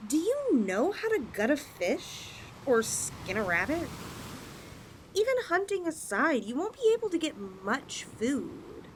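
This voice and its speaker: A woman's voice: sits high, sing-song, unhurried, a little hiss or hum. A woman voicing a possessive, flirtatious lamia in a roleplay ASMR style, coaxing her chosen mate with sweet condescension. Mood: condescending, insistent.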